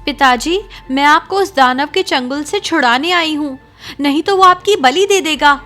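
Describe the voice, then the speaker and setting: A woman's voice: sits high, normal speed, sharp tone, faint music behind, voice pushed up. A woman voicing a brave, determined young princess in a cartoon story, speaking firmly and earnestly. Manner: firm, earnest pleading.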